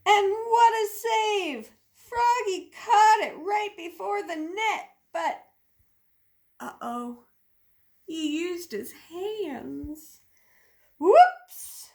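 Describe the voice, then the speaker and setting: A woman reads a picture book to children as a teacher. Her voice is very high, with lively swings in pitch and an unhurried pace. She is expressive and leans on the sound words. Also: creaky. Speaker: teacher reading aloud. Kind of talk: animated children's storybook read-aloud.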